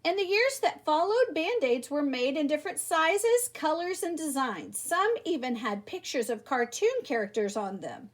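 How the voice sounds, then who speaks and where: A woman reads a children's story aloud in a high voice. Her delivery is lively and emphatic, with big swings in pitch, and she addresses her young listeners directly. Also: normal speed, few pauses. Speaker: storybook reader. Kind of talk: expressive children's read-aloud.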